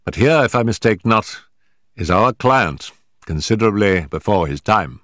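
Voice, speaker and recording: A man reading an audiobook, voicing a brisk, sharp-minded male detective who sets out facts in a measured, emphatic way. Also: lowish voice, expressive, no echo, clean studio sound, pitched above the performer's own voice. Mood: brisk, reasoning.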